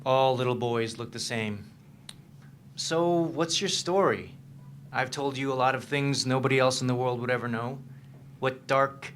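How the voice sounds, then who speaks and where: A man's voice: mid-range voice, unhurried, a bit bright, slight background noise, close to an everyday voice. An actor reading the part of a troubled, guarded man in a staged reading of a novel, with a hesitant, understated delivery. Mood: teasing, curious. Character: troubled, guarded man confiding in his girlfriend, haunted by past.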